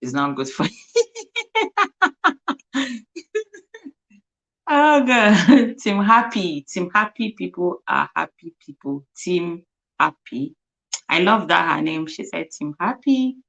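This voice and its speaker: Slow, low-voiced Nigerian woman. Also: sing-song, creaky, fairly bright tone. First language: Igbo. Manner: laughs often, repeats words while sounding them out. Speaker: livestream host. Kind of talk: casual livestream chat.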